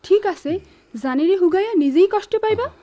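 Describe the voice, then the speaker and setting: High-pitched, fretful village sister. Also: female voice, animated, normal speed, warm tone. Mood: fretful, plaintive. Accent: rural Bangladeshi dialect. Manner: plaintive, scolding with care. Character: devoted, fretful younger sister in village household. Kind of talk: radio drama acting. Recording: dull, lo-fi radio recording.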